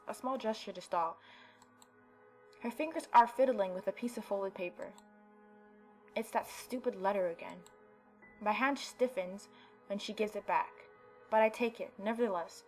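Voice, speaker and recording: Casting: woman gaming host, medium-pitched, narrates a visual novel theatrically and playfully over soft music. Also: poor audio.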